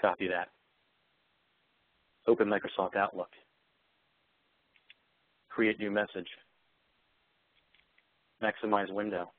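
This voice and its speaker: A man with a fairly low voice leads a live software demo. He explains features and issues voice commands. The sound is dry, with very little room around it. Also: little pitch movement, slow, long pauses, no echo, phone-quality sound. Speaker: software demonstrator.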